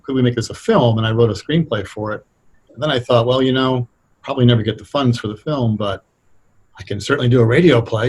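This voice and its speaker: A middle-aged or older American man explaining things conversationally as an interview guest, thoughtful and halting as he picks his words. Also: mid-range voice, slightly rough. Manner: hesitant, thoughtful, halting delivery. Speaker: interview guest, radio playwright.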